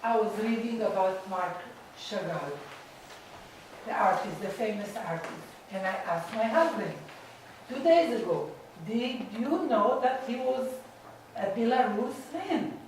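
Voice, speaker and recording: A woman's voice: a little high, lively intonation, a little slow, darkish tone, far from the mic, slight background noise. A woman moderating a Q&A and asking a question, rambling and searching for words. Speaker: event moderator. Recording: echoey hall, voice from afar.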